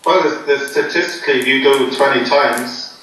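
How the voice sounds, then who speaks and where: A man being interviewed before a poker game, joking in a deadpan, rambling way with many ums. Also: medium pitch, a little fast. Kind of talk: joking casual chat. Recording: echoey room, voice from far off, faint noise.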